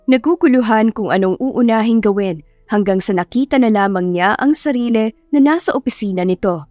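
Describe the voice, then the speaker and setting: A woman's voice: on the high side, darkish tone, dry recording. A woman with a Filipino accent narrating a scripted story in a dramatic storytelling style. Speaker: audiobook narrator.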